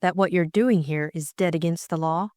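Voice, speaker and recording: A woman plays a young aristocratic lady in an audiobook. She is brisk and autocratic, putting things crisply and directly. The sound is close and dry. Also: fairly low pitch, a little mellow, dry recording, lightly acted. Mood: brisk, demanding. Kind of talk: audiobook character voice.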